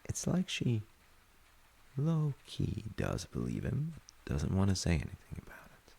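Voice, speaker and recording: A man playing a gentle, thoughtful, reassuring dad in a soft-spoken comfort roleplay, hesitant and reflective, with a husky, gravelly voice. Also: sits low, huge pitch swings, full character voice. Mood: gently musing. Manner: hesitant, reflective, pausing mid-sentence. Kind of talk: soft-spoken sleep-aid audio roleplay.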